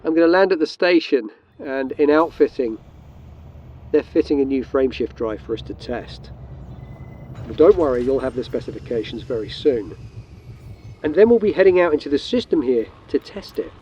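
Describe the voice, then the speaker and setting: Dark, mellow-voiced man hosting. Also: medium pitch, animated, moderate pace, slightly rough, highs cut off. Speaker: video host and game commander. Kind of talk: scripted demo narration and role-play.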